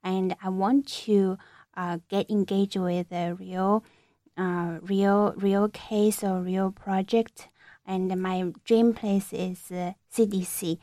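A young woman speaking slowly and carefully, pausing often with fillers and restarting her sentences. Her voice sits at an ordinary pitch and sounds dry and close, with no room around it. She talks as an interview guest explaining her research. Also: little pitch movement, warm tone, no echo. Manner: halting, many fillers and restarts. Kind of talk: hesitant conversational answers.

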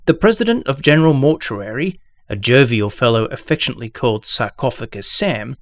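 A man's voice: mid-range voice, lively intonation, brisk, no room sound. A man reading scripted narration as the narrator of an audio production. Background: background music.

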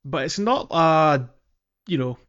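A man's voice: fairly high pitch, animated, slow, fairly bright tone, highs cut off. A man co-hosting a video game podcast in casual, enthusiastic chat, often feeling his way through a thought. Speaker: podcast co-host.

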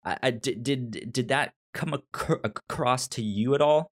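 Halting, stammering male podcast host, unhurried. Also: mid-range voice. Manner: halting, stammering, repeats words. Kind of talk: casual review discussion.

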